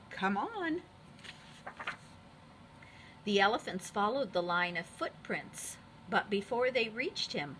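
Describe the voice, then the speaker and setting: Woman, storybook narration, bright voice, animated pitch, expressive, for young children, some background noise. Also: rough recording. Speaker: preschool teacher reading aloud.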